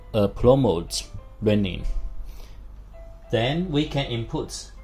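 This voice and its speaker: A man demonstrating a product step by step in non-native English, speaking in short, halting phrases and simple instructions. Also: lowish voice, a little mellow.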